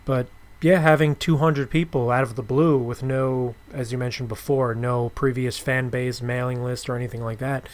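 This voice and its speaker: A man's voice: mid-range voice, steady tone, normal speed, few pauses. A man speaking as a podcast host in a casual interview chat.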